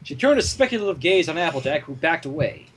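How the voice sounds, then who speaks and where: A man reading a story aloud in an animated narrating style, with joking asides and a husky voice. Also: on the high side, fast, a little hiss or hum. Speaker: fan fiction reader.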